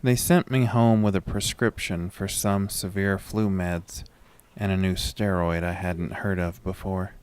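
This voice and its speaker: A man's voice: sits low, steady tone, a little slow, darkish tone, slight background noise. A man narrating a first-person horror story from a script.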